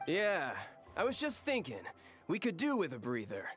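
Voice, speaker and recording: A man's voice: high-pitched, wildly swooping pitch, moderate pace, a bit bright, full character voice. A man voicing a tired, easygoing traveler in a video game, casual in manner. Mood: relieved, unsure. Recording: music behind the voice.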